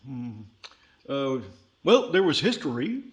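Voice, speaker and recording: A man playing a dim-witted, good-natured country-bumpkin dummy with a slow, hesitant, dopey drawl, in 1940s radio comedy style. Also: mid-range voice, big pitch swings. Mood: dopey, cheerful.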